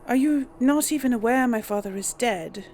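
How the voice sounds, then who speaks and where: A woman role-playing a young noblewoman daughter in a fantasy game, hesitant but defiant, with sighs and pauses. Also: fairly high pitch, dark tone, a little hiss or hum, close to an everyday voice. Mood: indignant. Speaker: gamer role-playing for an audience. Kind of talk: amateur role-play of game dialogue.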